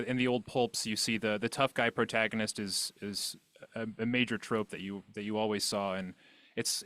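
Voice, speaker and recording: A man's voice: fairly low pitch, a bit bright, smooth, no room sound. A man hosting a podcast interview in a casual style, feeling his way through his questions with hesitant phrasing.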